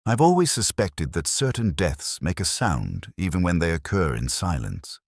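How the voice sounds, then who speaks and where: A man narrating a first-person detective mystery in a measured, reflective manner, close to the microphone. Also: low-pitched, very animated, no room sound.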